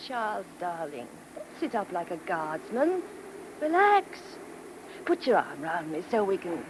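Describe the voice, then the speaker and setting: An actress playing a playful, needling, affectionate British newlywed wife in a vintage-style radio drama. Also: female voice, lowish voice, very animated, measured pace, noisy background. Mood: coaxing. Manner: arch, coquettish, mock-sweet. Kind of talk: mid-20th-century British radio play acting.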